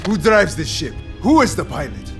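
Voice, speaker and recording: Casting: armed pirate captain, high-pitched for a man, wildly animated, taunting and explosive, over music. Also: normal speed. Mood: menacing, taunting. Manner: commanding, taunting, explosive when crossed. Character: menacing male pirate captain, volatile armed hijacker. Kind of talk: audio drama acting.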